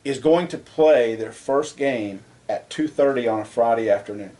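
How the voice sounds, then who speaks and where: A man co-hosting a casual sports talk show, debating his co-host and getting louder and higher when he is indignant. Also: normal speed.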